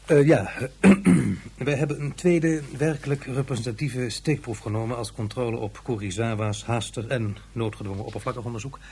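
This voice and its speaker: A man playing an earnest scientific official, formal and deliberate with some hesitation, in a science fiction radio play. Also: mid-range voice, warm tone, slight background noise, natural voice. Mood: earnest, reporting. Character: earnest male scientific official reporting to superior.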